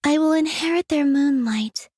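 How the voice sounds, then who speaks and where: A woman voicing a gentle, dreamy young moon maiden from a video game, speaking softly and airily in an unhurried, serene way. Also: high-pitched, lightly acted. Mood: calm, wistful. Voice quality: soft, breathy. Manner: unhurried, airy, serene, delicate. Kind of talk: scripted video game character voice lines.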